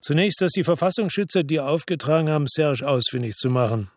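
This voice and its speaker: A man's voice: fairly high pitch, animated, brisk, dry recording, clean studio sound. An actor playing a hard, terse man in a crime thriller radio drama, with a blunt, biting delivery. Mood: accusing.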